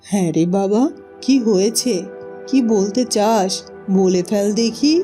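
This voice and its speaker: Woman as a dark-toned, fussy mother-in-law. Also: mid-range voice, a little slow, close to an everyday voice. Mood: brisk. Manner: scolding, exclamatory, fussing. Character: bossy, fussy middle-aged village mother-in-law. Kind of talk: Bengali cartoon dubbing. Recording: music behind the voice.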